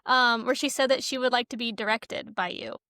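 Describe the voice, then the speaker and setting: A woman with a somewhat high voice chats as a podcast co-host. She puts questions and comments in an informal, conversational way. The recording is close and dry, with little room in it. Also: steady tone, a little fast, pushed and bright, no echo. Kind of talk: casual chat.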